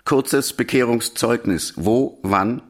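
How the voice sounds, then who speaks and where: A bureaucratic official in a radio play. His medium-pitched voice is matter-of-fact and impersonal, delivering form items in clipped, businesslike fashion. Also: slow. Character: impersonal official reading out church membership forms. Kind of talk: scripted bureaucratic reading in a radio drama.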